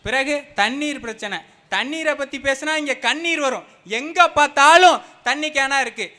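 A man's voice: very high voice, moderate pace, sharp tone. A young man giving a fiery, emphatic political speech at a public meeting. He mixes slang with formal phrasing. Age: young adult. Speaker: party speaker at a public meeting.

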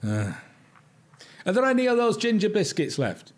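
A British actor playing a dry, weary older detective inspector at home in an audio drama. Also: male voice, high-pitched, huge pitch swings, moderate pace. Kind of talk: naturalistic audio drama dialogue.

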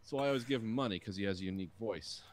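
A man's voice: on the low side, rapid-fire, a little hiss or hum. A man in his forties hosting a podcast from a dim home studio, rambling through crude banter and swearing freely. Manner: rambling storyteller, swears freely, mimics people. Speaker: podcast host. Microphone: studio mic on boom arm with headphones.

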